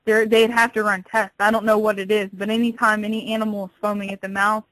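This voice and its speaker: A woman's voice: medium pitch, level tone, fast talker, fairly bright tone, no room sound. A woman working at a pet store, explaining things patiently and a little hesitantly on a customer service phone call. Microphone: telephone.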